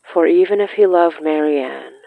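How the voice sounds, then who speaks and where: A narrator telling a scripted literary horror story in a measured way, with dramatic pauses between short phrases. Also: mid-range voice, creaky, no echo, phone-quality sound. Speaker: narrator of a horror fiction podcast.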